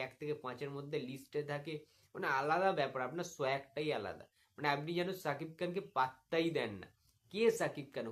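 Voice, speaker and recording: A man with a Bengali accent delivering an indignant, mocking rant as a video commentator, full of rhetorical questions. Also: fairly high pitch. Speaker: YouTube commentator making a reply video. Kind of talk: opinionated rant addressed to the camera.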